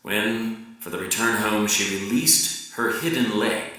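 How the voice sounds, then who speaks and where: A man giving a wry, theatrical dramatic reading of a novel's monologue at a live event, swinging from loud emphasis to hushed murmurs. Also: fairly low pitch, measured pace. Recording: very echoey room, distant mic.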